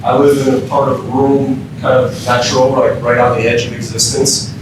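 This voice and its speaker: A man's voice: level tone, normal speed. Echoey, distant American man giving a lecture. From Minnesota, United States. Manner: informal, quizzing the audience with questions. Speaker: emergency physician, keynote speaker. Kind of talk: interactive training lecture to firefighters. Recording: very echoey room, distant mic, rumbling noise.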